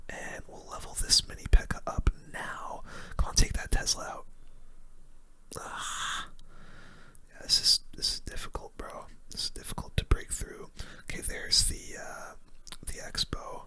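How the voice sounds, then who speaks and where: A person giving casual, slangy, mildly grumbling gaming commentary in a breathy ASMR whisper. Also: measured pace, sharp tone. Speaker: gaming video host.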